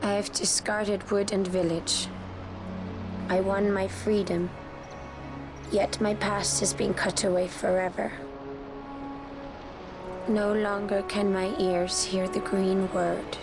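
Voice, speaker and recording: A solemn, otherworldly warrior woman from a fantasy game. She speaks very slowly and evenly, stern and formal, over prominent music. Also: mid-range voice, level tone. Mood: stern, sorrowful. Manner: stern, measured, archaic phrasing. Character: solemn exiled warrior woman of a woodland race. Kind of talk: dramatic fantasy game acting. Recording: loud orchestral music behind. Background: game soundtrack music.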